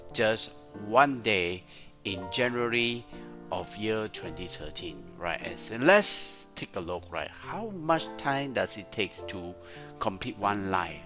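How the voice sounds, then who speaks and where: A man with a Malaysian accent giving a screen-share tutorial as a trainer, often tagging his sentences with "right?". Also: low-pitched. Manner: frequent "right?" tag questions. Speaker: Excel trainer. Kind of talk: screen-share software tutorial with sales pitch. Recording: music playing behind the voice. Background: clear background music.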